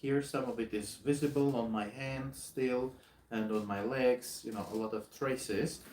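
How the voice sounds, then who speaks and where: Armenian man retelling an attack, echoey room. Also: mid-range voice. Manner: halting, many uh fillers, animated retelling. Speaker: host. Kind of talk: live video chat. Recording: echoey room with faint noise.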